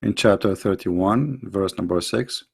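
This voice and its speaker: A man's voice: low-pitched, measured pace, gravelly, husky. A middle-aged man hosting a live stream, lecturing emphatically on religion in non-native English with frequent restarts and reading out viewer questions.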